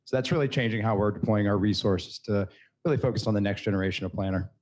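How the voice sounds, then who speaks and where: Brisk low-voiced man on a panel. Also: darkish tone. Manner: brisk and to the point. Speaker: panelist, association executive. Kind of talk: panel discussion answers.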